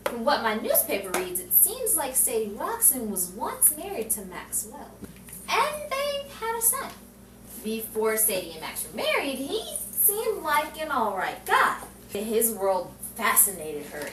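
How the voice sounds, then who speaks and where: A woman's voice: sits high, heavily acted. A woman playing a plucky, wry 1940s newspaper reporter in a noir radio play, with theatrical, musing delivery. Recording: echoey, distant, noisy room recording.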